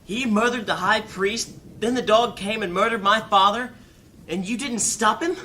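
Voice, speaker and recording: A man's voice: sits high, normal speed, pushed and bright, a little hiss or hum. A man voicing a hot-headed, grieving teenage boy in a fantasy audio drama. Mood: outraged. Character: hot-headed grieving youth, male, about seventeen.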